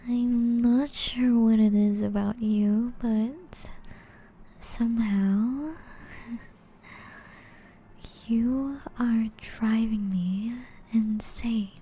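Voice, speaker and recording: A woman voicing a seductive, aristocratic female vampire in an intimate ASMR roleplay, breathy and half-whispered, with teasing, elegant phrasing. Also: a little high, warm tone, exaggerated voice. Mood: enraptured, intimate.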